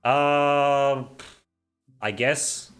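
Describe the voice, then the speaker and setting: A man's voice: medium pitch, very slow, soft background music. A man streaming a game and chatting casually with viewers, making his R sounds in the throat. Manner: relaxed, reads and answers chat while playing. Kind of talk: casual livestream chat.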